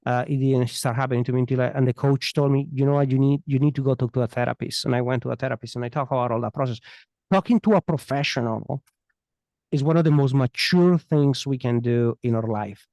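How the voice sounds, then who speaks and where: A man's voice: medium pitch, fast, gravelly, darkish tone, dry recording. A man answering as an expert podcast guest, earnest and emphatic, often repeating his phrases. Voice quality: thick foreign accent. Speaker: interview guest, immigration attorney. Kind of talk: unscripted podcast interview answers.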